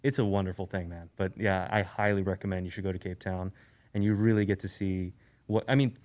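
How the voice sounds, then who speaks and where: Laid-back man from Eastern Europe, lowish voice. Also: fairly quick. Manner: relaxed, rambling, many fillers and restarts. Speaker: guest, sneaker YouTuber. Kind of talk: casual podcast conversation.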